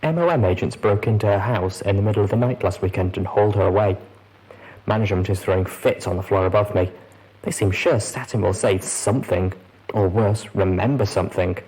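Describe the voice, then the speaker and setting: A man's voice: lowish voice, darkish tone, breathy edge, low-quality recording. A man voicing a jittery, bitter office employee grumbling through a private message in a storybook reading.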